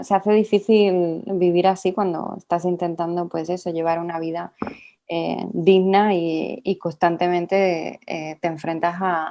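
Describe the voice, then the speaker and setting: A woman's voice: lowish voice, darkish tone. A woman in her thirties from Spain with an Andalusian accent, answering interview questions in a thoughtful way, with frequent small restarts and tag questions. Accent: Andalusian Spanish. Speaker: writer being interviewed.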